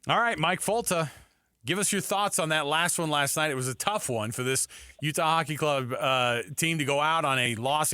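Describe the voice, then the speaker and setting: Male sports radio host chatting casually, punchy bright voice, super dry studio sound. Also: on the high side, clear, resonant tone, dry recording.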